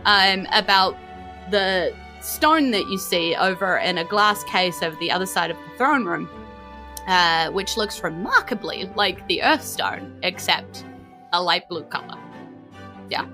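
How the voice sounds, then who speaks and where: Kiwi woman running a dnd game, chatty and giggly, bright voice, making it up as she goes. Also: on the low side, expressive, a little slow, soft background music, rough recording. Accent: New Zealand. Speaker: dungeon master. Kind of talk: improvised narration of a tabletop game.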